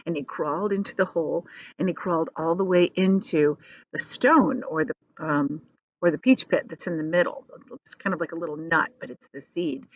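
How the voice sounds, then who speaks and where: A woman teacher reading a children's storybook aloud expressively, pausing to explain things in simple, casual words. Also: sits low, fairly even intonation, no room sound, dull audio.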